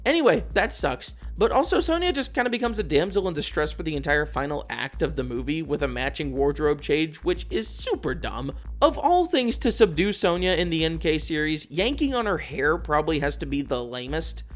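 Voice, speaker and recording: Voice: medium pitch, big pitch swings, fairly quick, hardly any pauses, soft background music. A video essayist reading a scripted film review in a casual, opinionated, sweary way.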